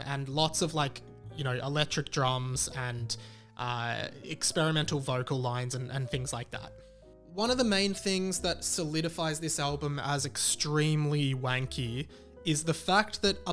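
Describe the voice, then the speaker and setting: A man's voice: a little high, fairly bright tone. A man giving a casual, opinionated album review as the host, talking conversationally with wry amusement. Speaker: music reviewer and show host. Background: music under the voice.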